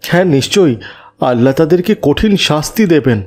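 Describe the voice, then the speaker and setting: A man voicing a kindly, pious father in a children's cartoon, calm and devout. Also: fairly high pitch, normal speed. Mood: grave.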